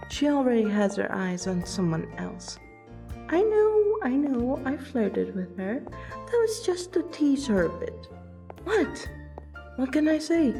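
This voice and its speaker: A fairly high-voiced vampire woman played by an actress talks to her close friend in an audio roleplay. She is teasing and affectionate, with an unhurried delivery and dramatic pauses. Soft music plays behind her.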